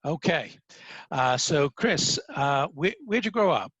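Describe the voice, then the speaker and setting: A man's voice: fairly high pitch, lively intonation, takes it slowly, fairly bright tone, slightly rough, dry recording, dull audio. A man with a Brooklyn accent hosting an interview, reading an introduction with many fillers. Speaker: host. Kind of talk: interview host.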